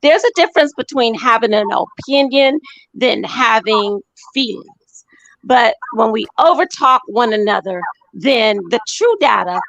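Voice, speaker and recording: Earnest woman caller, gravelly voice, fairly high, dry close sound. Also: fairly bright tone, no room sound, rough recording. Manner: earnest, measured, with many fillers. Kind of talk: casual chat.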